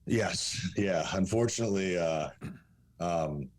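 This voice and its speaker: A man's voice: deep voice, slow pace, a little hiss or hum. A man in his forties from Russia, whose first language is Russian, speaking as a coach in a candid podcast interview, blunt and profane, rambling with fillers. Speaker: fitness coach and guest.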